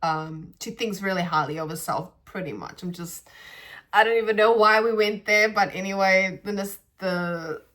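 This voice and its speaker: A woman speaks in a reflective, spiritual monologue for her podcast. She meanders and pauses to find her words, restarting sentences as she thinks aloud. Her pitch sits in the middle range for a woman. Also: fairly bright tone. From South Africa. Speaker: podcast host.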